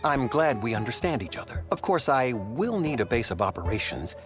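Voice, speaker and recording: A man acting as a self-important eccentric scholar who fancies himself a DJ. His pitch moves freely as he delivers wordy, grand pronouncements like a showman in a video game. Music plays clearly behind him. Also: big pitch swings, fairly quick, lightly acted. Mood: smug, breezy.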